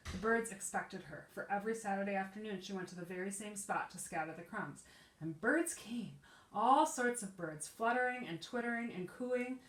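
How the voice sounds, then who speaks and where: A woman's voice: medium pitch, fairly bright tone. A woman preschool teacher reading a picture book aloud to young children, explaining simply and asking them questions. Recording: echoey room.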